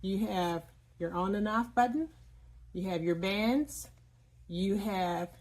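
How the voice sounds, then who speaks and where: Female product reviewer, medium pitch, plainspoken and explanatory, casual hands-on demo delivery with frequent mid-sentence pauses. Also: darkish tone.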